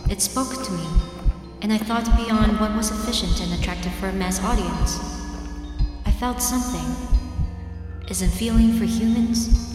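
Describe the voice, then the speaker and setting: A woman playing an inquisitive android who poses as a portrait sitter and confronts the artist in an audio drama, in a measured manner. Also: mid-range voice, close to an everyday voice. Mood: reflective. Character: probing female android posing as portrait sitter.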